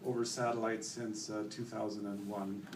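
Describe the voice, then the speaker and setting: A middle-aged Canadian man giving a company presentation at a conference, relaxed and full of fillers. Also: lowish voice, normal speed. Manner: relaxed, many fillers, self-corrects. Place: conference hall. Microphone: room mic, later handheld. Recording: echoey hall from far off, faint noise.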